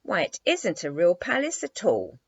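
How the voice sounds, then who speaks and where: A woman's voice: lowish voice, wildly swooping pitch, moderate pace, slightly rough, pitched above the performer's own voice, full character voice. A woman voicing a bossy, teasing little girl in a children's storybook, full of scornful exclamations. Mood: exclaiming. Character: haughty, scornful little girl, a minister's daughter. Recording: dry, slightly dull lo-fi recording.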